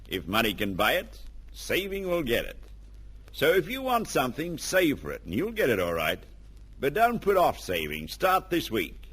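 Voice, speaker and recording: A man's voice: a little high, a bit bright, slightly rough. A man reading old-time radio advertising as an announcer, earnest and persuasive. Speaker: announcer and sponsor spokesman.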